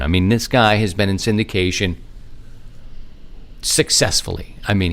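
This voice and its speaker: Man, middle-aged, lowish voice, casual podcast host, conversational, rambling, animated asides. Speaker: amateur radio podcast host. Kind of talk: casual solo podcast monologue.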